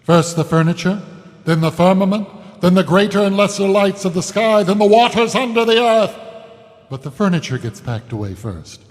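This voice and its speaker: An actor playing a businesslike celestial stagehand in an audio comedy, brisk and matter-of-fact. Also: male voice, high voice, lively intonation. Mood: polite, matter-of-fact. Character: businesslike celestial stagehand dismantling all creation. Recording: long hall reverb, music behind. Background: music.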